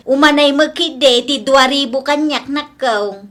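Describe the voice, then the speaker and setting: Babaeng gumaganap na mabait na lola, mataas ang boses. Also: female voice, high-pitched, slow pace, a bit bright. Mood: chatty, content. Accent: Ilocano. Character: kindly, easygoing elderly mother-in-law, a grandmother. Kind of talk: Ilocano audio comedy drama acting.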